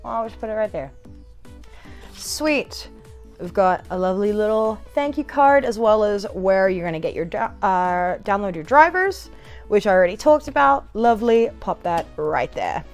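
A woman's voice: medium pitch, animated, normal speed, soft background music. A young woman chatting casually to camera during an unboxing, with a playful, bubbly manner and sing-song asides, recorded in a living room. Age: young adult. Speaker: product reviewer.

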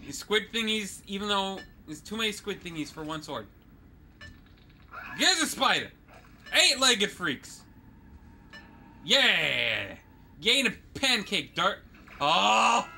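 A man co-hosting a game let's play, joking and teasing the player with animated commentary. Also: high voice, slow, vocal fry, long pauses, a little hiss or hum. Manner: teasing, animated, exclaims and laughs often.

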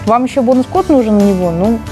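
A woman's voice: fairly high pitch, lively intonation, fast, darkish tone, soft background music. A young woman co-hosting a live stream, chatting playfully and reading out giveaway winners. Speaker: stream co-host. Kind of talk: casual live stream chat.

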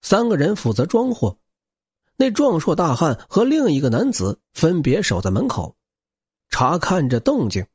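A man's voice: a little high, huge pitch swings, moderate pace, husky, no echo, clean studio sound. A man narrating an audiobook in a dramatic, scripted style.

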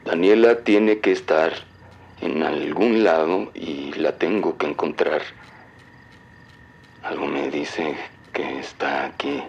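A man playing an obsessed, secretive middle-aged father, speaking gravely and deliberately on a cassette recording. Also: a bass voice, full character voice. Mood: grave, obsessive. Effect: cassette tape playback. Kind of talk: brooding audio fiction monologue.

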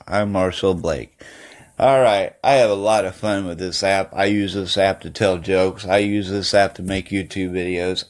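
A man giving a casual, unhurried review as a host, with halting and repetitive phrasing. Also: lowish voice. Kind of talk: casual app review voice-over.

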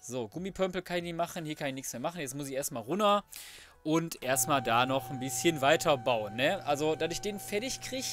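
Guy doing a casual lets play, slightly high voice, swears a bit, music behind him. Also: expressive, fairly quick, fairly bright tone. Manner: colloquial, mildly sweary, muttering to himself. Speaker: gaming video host. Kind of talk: casual let's play commentary.

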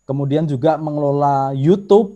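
A man with a Javanese accent gives an online workshop lecture over a video call. His voice sits a little high but has a mellow, dark tone. He explains step by step, turning often to address his listeners. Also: normal speed. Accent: Javanese Indonesian. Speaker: workshop instructor.

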